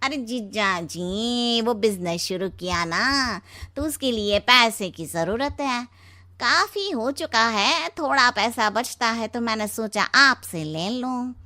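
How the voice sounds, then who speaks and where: A woman's voice: on the high side, expressive, slow, pushed and bright, heavily acted. A woman voicing a wheedling brother-in-law in a story, drawling and ingratiating.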